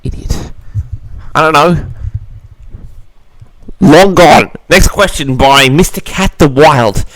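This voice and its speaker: A man's voice: on the high side, takes it slowly, fairly bright tone, dry recording, rough recording. A man hosting a casual audio log, reading out audience questions and answering them in a theatrical, mock-grand manner.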